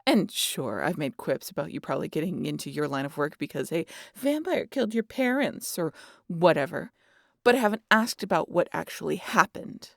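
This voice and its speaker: A woman playing a sardonic, guarded vampire in an intimate audio roleplay, with a gravelly, husky voice and a teasing, exasperated manner that slips into breathy whispers. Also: low-pitched, lively intonation, moderate pace, no room sound, clean studio sound, heavily acted. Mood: exasperated, defensive. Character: sardonic, guarded female vampire hypnotizing an injured hunter.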